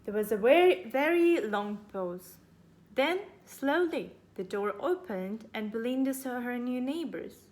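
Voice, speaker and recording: A woman's voice: on the high side, lively intonation, measured pace, a little mellow, slight background noise. A woman storyteller reading a children's story aloud expressively.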